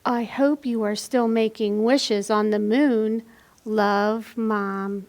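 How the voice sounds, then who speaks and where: A woman's voice: mid-range voice, steady tone, slow pace, a little mellow, voice pushed down. A woman reading a picture book as a loving, playful mother writing letters to her daughter. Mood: tender, wistful.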